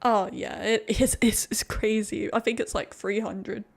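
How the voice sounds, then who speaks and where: A woman streaming a game, chatting casually with a friend in an excitable, jokey, sweary way. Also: mid-range voice, measured pace, gravelly. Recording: music behind the voice. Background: music.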